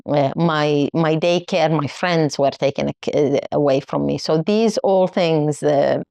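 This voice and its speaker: A woman's voice: low voice, a little slow, vocal fry, dark tone, dry recording, muffled recording. A woman from Finland who was raised in Kuwait, telling her life story in an interview, reflective and searching for her words.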